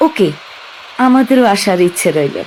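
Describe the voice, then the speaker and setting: A woman's voice: medium pitch, expressive, moderate pace, darkish tone, slight background noise, natural voice, rough recording. A woman acting as a young woman stranded on an island with her fiancé, in an audio drama. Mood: worried. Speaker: Jina.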